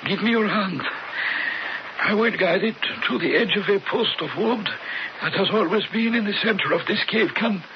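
An actor playing a grave old leader of cave dwellers in a radio drama, giving halting, patient, solemn instruction. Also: male voice, high voice, natural voice. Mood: grave, guiding. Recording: muffled old radio broadcast, faint noise.

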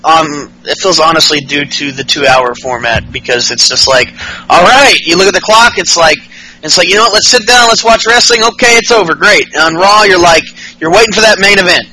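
A young man in his late twenties with a high, very bright voice chats casually and profanely about wrestling. He rambles and restarts often, and a faint hiss sits under the recording. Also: brisk, low-quality recording. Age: 20s. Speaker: podcast co-host.

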